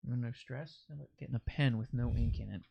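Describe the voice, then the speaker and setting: A man's voice: medium pitch, quick pace, creaky, breathy edge, highs cut off. A man hosting a podcast, easygoing and laughing along, often restarting his sentences. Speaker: podcast host. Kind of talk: casual interview chat.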